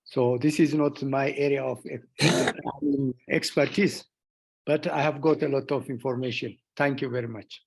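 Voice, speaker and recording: An energy expert giving brief, modest thanks on a video call, halting between phrases. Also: male voice, mid-range voice, fairly even intonation, gravelly, breathy edge. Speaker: energy expert, forum participant.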